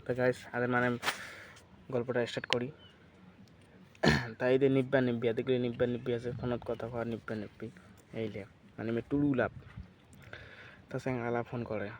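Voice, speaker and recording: A man with a northern Bengali dialect telling a comic story casually, in halting, repetitive, colloquial phrases. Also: medium pitch, little pitch movement, vocal fry, a little hiss or hum. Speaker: comedy vlogger. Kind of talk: casual comic storytelling, acting out a couple's phone call.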